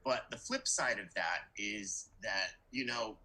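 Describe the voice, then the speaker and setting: Deep, bright-voiced young man with rasp. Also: slow pace. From United States. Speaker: student participant in a group discussion. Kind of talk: casual conversation.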